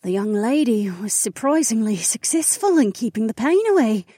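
A woman voicing a frail old dragon countess, gentle and grateful, with a raspy, breathy voice in a fantasy audiobook. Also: on the high side, sing-song, moderate pace, no room sound, clean studio sound. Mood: surprised, breathy. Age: older.

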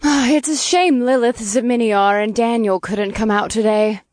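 A woman's voice: fairly high pitch, moderate pace, darkish tone, no room sound, studio quality, natural voice. A woman playing a poised demoness in an audiobook, composed and articulate. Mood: wistful. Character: poised female demons, companions of the hero.

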